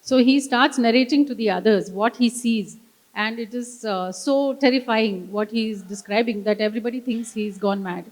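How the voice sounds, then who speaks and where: A woman's voice: a little high, moderate pace, rough recording. A woman interpreting live on stage, relaying speech in careful segments.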